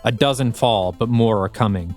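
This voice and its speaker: A man's voice: lowish voice, normal speed, darkish tone, faint music behind. A man narrating a scripted action audio drama with vivid present-tense description.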